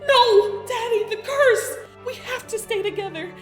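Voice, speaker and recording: Man voicing a very high-pitched grieving young princess. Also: measured pace. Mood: desperate pleading. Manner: sobbing, pleading. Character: young princess girl, grieving, sobbing, defiant. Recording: background music behind the voice.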